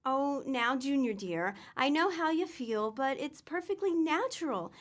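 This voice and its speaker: Actress as an exasperated mom, high voice, scolding. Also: female voice, expressive, measured pace. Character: exasperated, sensible wife and mother. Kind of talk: comic radio drama acting.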